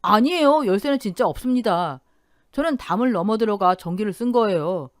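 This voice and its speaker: A woman reads the part of a worn-out, penniless male realtor caught out, apologetic and pleading. The voice sits fairly high and swings widely in pitch, in a dry, close recording. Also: lively intonation, fairly quick, no room sound. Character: shabby, broke male real estate agent, middle-aged.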